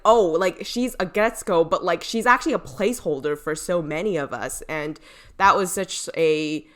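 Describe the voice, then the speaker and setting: A woman's voice: low-pitched, fairly bright tone. A woman co-hosting a podcast, giving her opinions in an informal, casual chat and qualifying them as she goes. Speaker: podcast host.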